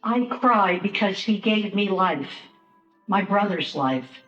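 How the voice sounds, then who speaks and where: A woman's voice: medium pitch, animated, slow, fairly bright tone. A woman playing a grateful, grieving sister in a Passion drama, with a subdued, sorrowful delivery. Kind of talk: dramatic monologue in a church Passion play. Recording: echoey, slightly dull, music behind.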